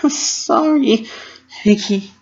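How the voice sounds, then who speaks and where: Distraught young female pony for animated fan drama, male performer: high, pleading, sobbing delivery, slightly muffled recording. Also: takes it slowly. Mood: tearful, choked. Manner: choked, pleading, overwrought.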